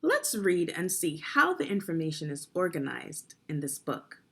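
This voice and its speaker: A woman narrating an instructional children's read-along as a teacher, phrasing deliberately and guiding young listeners through the text. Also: sits low, very animated, creaky, fairly bright tone, breathy edge. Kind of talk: instructional read-aloud of a children's nonfiction book.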